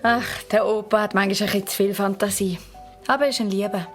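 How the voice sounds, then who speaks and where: Gentle Swiss mother over music, acted. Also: mid-range voice, steady tone, fast, lightly acted. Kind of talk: children's radio play acting.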